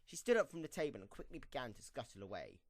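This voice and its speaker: Man narrating, lively and swooping pitch. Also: fast, no echo. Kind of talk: scripted storybook narration for a fan audio drama.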